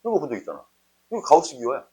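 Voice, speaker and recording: Male math tutor, husky voice with animated intonation; informal, explains step by step and checks in often. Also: a little high, rapid-fire, poor audio. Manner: conversational, often checks understanding with short questions. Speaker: math teacher. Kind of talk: informal explanatory math lesson, thinking aloud.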